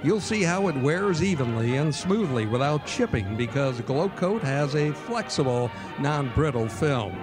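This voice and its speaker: A man playing a 1940s radio announcer, reading commercials in a polished, upbeat pitchman delivery on a live stage. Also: lively intonation, unhurried, few pauses, music in the background. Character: cheerful male radio announcer, smooth salesman. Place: live stage performance in a ballroom.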